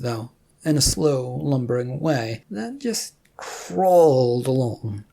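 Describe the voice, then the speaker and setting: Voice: medium pitch, vocal fry, warm tone, husky. A storyteller reading scripted fiction narration.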